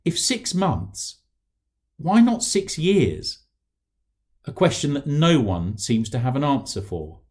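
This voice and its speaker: A middle-aged British man reads aloud in a measured, articulate way. His medium-pitched voice moves with plenty of melodic variation, and his phrasing is deliberate and formal, like an author presenting his own factual writing. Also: very animated, a little mellow. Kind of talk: measured reading aloud from a non-fiction book.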